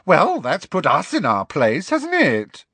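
A man voicing a snide, mocking stone gargoyle in a high-pitched voice for a storybook. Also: huge pitch swings, takes it slowly, a bit bright, slightly rough, no room sound, heavily acted. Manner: sarcastic, snide.

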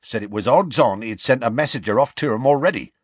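A man voicing a huge, gruff half-giant groundskeeper who talks in a rustic dialect. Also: medium pitch, a little fast, no echo, pitched above the performer's own voice, natural voice. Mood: storytelling.

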